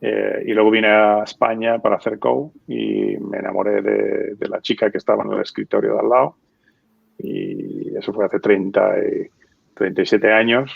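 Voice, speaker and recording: A man in his fifties from the States speaks in a low, very gravelly voice, telling stories at an easy pace with lots of fillers. The sound is dry and dull, like lo-fi audio from a remote call. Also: moderate pace, no echo. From United States. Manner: relaxed storytelling with many fillers and restarts. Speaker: guest. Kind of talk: conversational interview.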